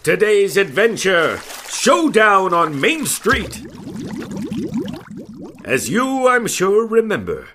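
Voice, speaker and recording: An actor playing a grandiose announcer narrating an old-time Western radio serial with theatrical, drawn-out emphasis. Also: male voice, on the high side, fairly bright tone, heavily acted. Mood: suspenseful. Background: music.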